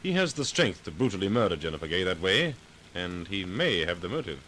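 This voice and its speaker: Low-voiced, wry radio sleuth. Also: male voice, big pitch swings, brisk, bright, forceful tone, heavily acted. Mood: thoughtful. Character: calm, commanding amateur sleuth with a suave, wry edge. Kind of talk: 1940s radio mystery acting. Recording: noisy vintage 1940s radio recording.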